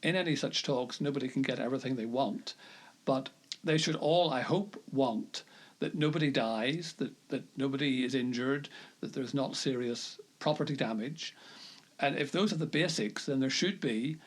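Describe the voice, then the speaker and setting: A man who is a law professor, answering interview questions in a measured, hesitant way and often repeating words. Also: mid-range voice. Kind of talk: podcast interview.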